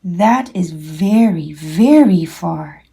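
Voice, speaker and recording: Big adult male hare for a storybook, read by a woman: patient, loving, gently emphatic, a touch husky. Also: on the low side, expressive, extremely slow, exaggerated voice. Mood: soft, loving. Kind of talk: children's storybook character voice.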